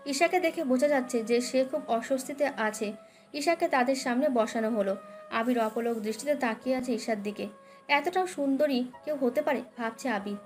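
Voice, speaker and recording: A woman narrating a dramatic romance story as a scripted audio tale. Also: high-pitched. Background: background music.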